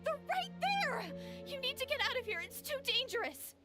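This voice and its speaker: A woman voicing an earnest, shy, easily flustered young girl in an animated fantasy game, with a girlish voice. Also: very high-pitched, normal speed, over loud music. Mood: panicked, pleading.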